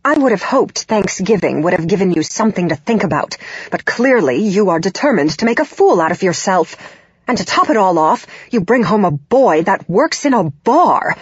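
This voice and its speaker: A woman voicing a condescending, controlling middle-aged mother in an audiobook, with a husky voice. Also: mid-range voice, big pitch swings, moderate pace, no echo, dull audio. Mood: scornful. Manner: haughty, disapproving, condescending.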